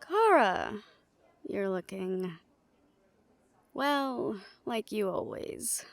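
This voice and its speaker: A woman's voice: medium pitch, very animated, drawn-out words, creaky, no room sound, heavily acted. A woman playing a supportive, protective big-sisterly character, firm and dryly sardonic, in an audio roleplay drama. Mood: icy, sarcastic.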